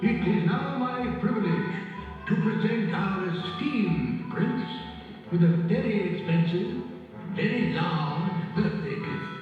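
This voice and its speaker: A man playing a fussy, pompous older royal advisor in an animated storybook, speaking formally. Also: high voice, slow pace. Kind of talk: animated film read-along acting. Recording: echoey, distant, dull sound with music.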